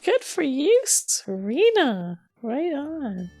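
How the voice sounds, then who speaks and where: A woman's voice: sits high, very animated, slow pace, dark tone, soft background music. A woman co-hosting a podcast in casual conversation. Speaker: podcast co-host. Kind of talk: casual chat.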